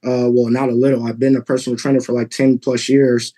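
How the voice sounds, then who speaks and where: A man in his thirties from Texas, answering a judge's questions in court politely and haltingly. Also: medium pitch, little pitch movement, mellow. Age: 30s. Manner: polite, halting, many fillers. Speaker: litigant father.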